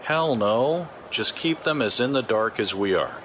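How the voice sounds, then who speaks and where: An actor playing a gruff, menacing, impatient corrupt police chief in a science fiction audio drama. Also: male voice, mid-range voice, animated, measured pace, fairly bright tone, a little hiss or hum. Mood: irritated, impatient.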